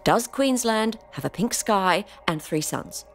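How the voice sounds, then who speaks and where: A woman playing a brisk, unflappable retired adventurer in a science fiction comedy audio drama, dry and bossy. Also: mid-range voice, lively intonation, soft background music. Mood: dry, reasoning. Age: older. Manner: bossy, dry, matter-of-fact.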